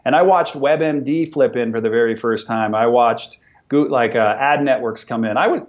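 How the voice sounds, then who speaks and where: A man's voice: mid-range voice, moderate pace. Middle-aged businessman guest, upbeat and motivational, restarts his sentences, dull lo-fi recording. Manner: energetic, motivational, repeats words when starting sentences. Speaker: business owner and podcast guest. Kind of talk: conversational interview.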